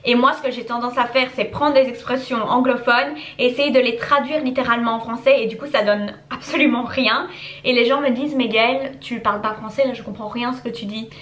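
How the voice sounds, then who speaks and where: A young woman from Belgium chats casually to camera, answering viewers' questions. She keeps stacking repeated words for emphasis, and her voice sits a little high. The room around her gives a noticeable echo. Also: steady tone, fairly quick, fairly bright tone. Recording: echoey room.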